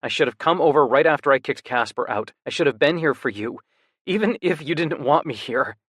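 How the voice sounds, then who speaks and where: A man's voice: fairly high pitch, lively intonation, a little fast, a bit bright, breathy edge, no room sound, studio quality, pitched above the performer's own voice. A man voicing a frantic worried younger brother. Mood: worried pleading. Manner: urgent, pleading, swears when upset.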